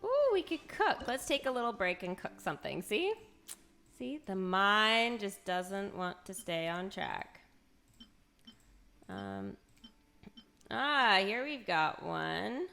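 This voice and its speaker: A woman's voice: medium pitch, very animated, slow pace, vocal fry, pauses often, sharp tone. A woman streaming a video game, chatting casually and playfully as she reacts aloud and banters with viewers. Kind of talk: casual livestream chat over gameplay.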